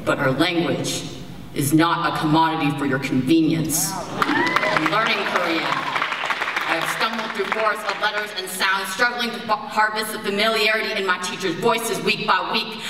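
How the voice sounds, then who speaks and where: A teenage girl performs slam poetry with impassioned, rhythmic phrasing and pointed pauses. Her voice sits at an ordinary middle pitch. It rings through a big reverberant hall, heard from a distance, with a live crowd audible behind her. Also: fairly even intonation. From United States. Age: teens. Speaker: slam poet. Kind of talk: spoken word poetry performance. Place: large performance hall, on stage. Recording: echoey hall from far off, crowd noise. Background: live audience.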